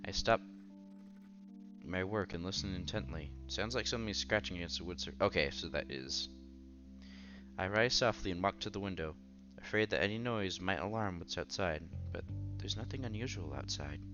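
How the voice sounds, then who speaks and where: A man's voice: low-pitched, normal speed, dull audio. A man reading a visual novel aloud as a streamer, mixing narration with casual, conversational asides. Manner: breaks off to react conversationally. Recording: music under the voice. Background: background game music.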